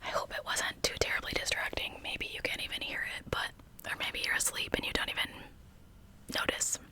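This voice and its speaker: A woman narrating in ASMR style as a true crime host, speaking in a soft, deliberate, breathy whisper.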